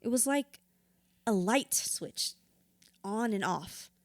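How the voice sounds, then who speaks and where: A woman's voice: mid-range voice, lively intonation, slow, dry recording, studio quality. A woman acting in an audio drama as a grieving widow in therapy, wounded and candid, reflective with a wry edge. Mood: wistful, animated.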